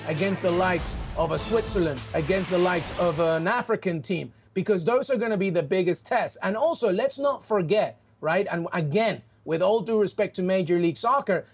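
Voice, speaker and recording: A man with a high, bright-sounding voice breaks down soccer matches in a studio. He talks with energy, stacks up rhetorical questions and leans hard on key points. Faint music plays behind him. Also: breathy edge. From Peru. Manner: animated, emphatic, rhetorical questions. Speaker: soccer analyst. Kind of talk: studio sports analysis.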